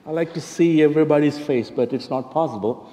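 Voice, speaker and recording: Mellow Indian-accented man preaching in a hall. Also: a little high, a little fast, a little hiss or hum. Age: middle-aged. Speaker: church minister. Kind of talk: sermon, conversational address to congregation. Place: large church sanctuary at a pulpit. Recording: reverberant church hall.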